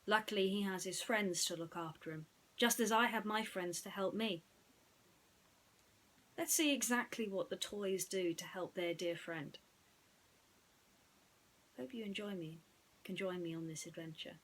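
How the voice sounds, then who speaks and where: Bright-voiced, unhurried woman storyteller. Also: mid-range voice. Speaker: bedtime storyteller. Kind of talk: scripted story narration with chatty asides.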